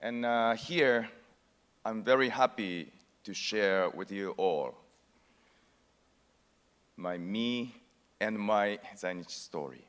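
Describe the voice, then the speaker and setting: A man giving a conference talk as an actor, telling a personal story in a measured way with pauses. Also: lowish voice, fairly bright tone, smooth, in a large hall. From Japan. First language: Japanese. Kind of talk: prepared conference speech. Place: large conference hall.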